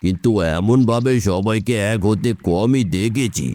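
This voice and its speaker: Man playing a deep, bright-less mellow village boatman. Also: unhurried, close to an everyday voice. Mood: earnest, reflective. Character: earnest, seasoned village boatman with philosophical outlook. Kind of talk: animated moral story dialogue.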